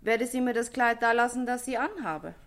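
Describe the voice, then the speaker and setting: An actress playing a brisk, testy, chatty dress-shop owner, speaking brusquely and colloquially in a German radio crime play. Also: female voice, on the high side, fairly bright tone. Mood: explanatory.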